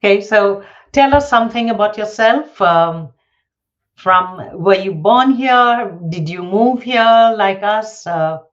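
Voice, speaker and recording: A woman hosting an interview, introducing her guest and asking friendly, halting questions. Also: mid-range voice. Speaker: interviewer host. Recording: dull lo-fi sound.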